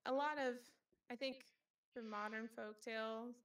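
A woman chatting casually on a podcast, often pausing to think aloud as she explains. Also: a little high, steady tone, recorded from a distance. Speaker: podcast co-host. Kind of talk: casual podcast discussion of folktales. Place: remote online group call.

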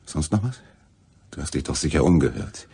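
An actor playing a dogged, suspicious investigative reporter in a radio crime play, with short, pressing questions. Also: male voice, rumbling low, animated, moderate pace, gravelly, warm tone, breathy edge, exaggerated voice.

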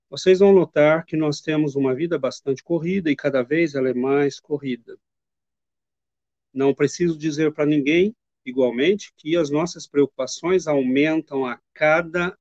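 A middle-aged man giving a devotional spiritist lecture from his home study, speaking in a measured, earnest and reflective way with pauses. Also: a little high, darkish tone, dry recording. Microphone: webcam video call. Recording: muffled lo-fi video call audio.

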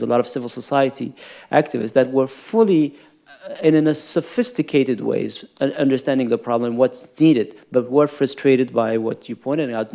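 A man answering as an expert panelist on stage, formal and measured, halting as he repeats words. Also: mid-range voice, vocal fry, a little mellow. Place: stage in an auditorium.

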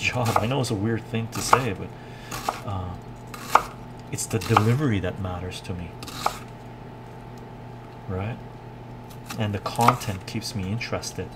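A man, chatting casually and haltingly on a cooking livestream, musing and repeating phrases for emphasis. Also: on the low side. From Canada, born in Iran. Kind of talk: casual livestream chat while cooking, reading viewer comments. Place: home kitchen, indoors. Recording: loud kitchen noise, chopping and clatter.

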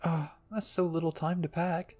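A man acts out a soft-hearted teenage girl cadet in an anime fan story. The voice is raised and slightly husky, polite and eager to help. Music plays faintly behind. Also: fairly high pitch, flat delivery, normal speed, lightly acted. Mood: kind, concerned.

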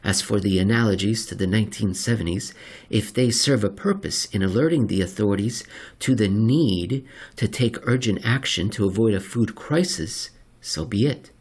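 A man with a slightly husky voice narrates a written article in a deliberate, measured way with dramatic pauses. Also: fairly low pitch, darkish tone. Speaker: narrator reading an article aloud. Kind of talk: scripted narration of an economics article.

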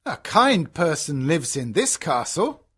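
Woman voicing a husky, earnest storybook father. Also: deep voice, sing-song, slow pace, pitched below the performer's own voice, exaggerated voice. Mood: wondering. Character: kindly middle-aged father, earnest and easily moved. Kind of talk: graded storybook audiobook character voice.

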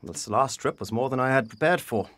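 An actor playing a weary widowed father in a period audio drama, speaking earnestly and haltingly in formal phrasing. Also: medium pitch, a bit bright, lightly acted. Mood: stern, weary.

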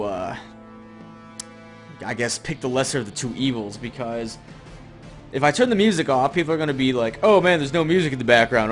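A young man giving casual gameplay commentary, rambling and sarcastic with some swearing. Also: medium pitch. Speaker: gaming video host. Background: game music and effects.

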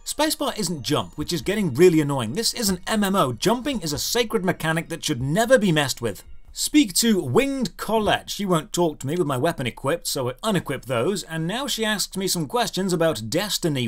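A man's voice: a little high, big pitch swings, moderate pace. A man doing scripted comedic game commentary, sarcastic and theatrical in his emphasis. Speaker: game reviewer and video host. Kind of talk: scripted comedic commentary over gameplay footage. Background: game background music.

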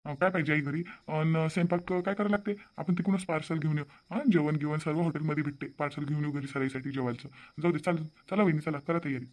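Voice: medium pitch, fast, hardly any pauses, darkish tone, lightly acted. A voice actor playing an easygoing young son in a cartoon, cheerful and persuasive. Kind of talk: cartoon voice acting.

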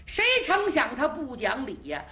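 A woman's voice: high-pitched, huge pitch swings, moderate pace, fairly bright tone, full character voice. A woman voicing a cornered defiant prince. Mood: explaining. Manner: defiant, pleading. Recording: roomy echo.

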